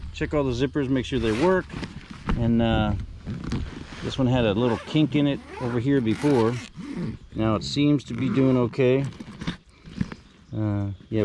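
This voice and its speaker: A man's voice: medium pitch, noisy background. A man, chatting casually and rambling with jokey asides as he sorts through storage unit finds outdoors on a rural lawn, filmed on a body-worn camera. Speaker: storage unit reseller vlogger. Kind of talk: casual walkthrough commentary.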